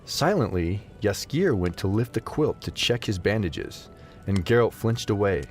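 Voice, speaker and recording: A man narrating a scripted fantasy story as an expressive audio drama narrator. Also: fairly low pitch, a little slow, faint music behind.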